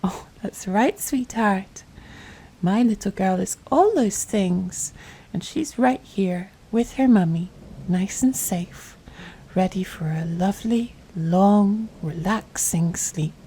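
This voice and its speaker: A woman playing a tender, doting mother in a soft-spoken ASMR bedtime roleplay, gentle, soothing and affectionate, often dropping to a whisper. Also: medium pitch, takes it slowly, breathy edge. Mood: soothing, hushed. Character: tender, doting mother soothing her little girl to sleep.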